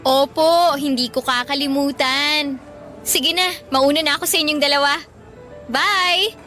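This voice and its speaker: Bright, high-pitched bubbly Filipina student. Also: female voice, measured pace, soft background music. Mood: teasing. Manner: bubbly, teasing. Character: cheerful, playful young female student being courted. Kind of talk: Filipino radio drama acting.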